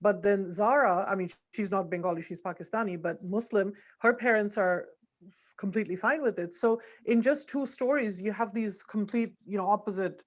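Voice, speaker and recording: A woman from Bangladesh speaking on an author panel. Her voice sits at a mid pitch for a woman, and she talks in an earnest, measured way as she builds a point one example at a time. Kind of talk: casual panel discussion.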